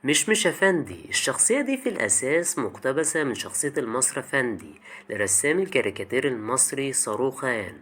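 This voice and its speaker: A man's voice: mid-range voice, sing-song, fast talker, fairly bright tone. A young man with an Egyptian Arabic accent narrates a scripted video essay in a colloquial, chatty way, addressing the listener directly.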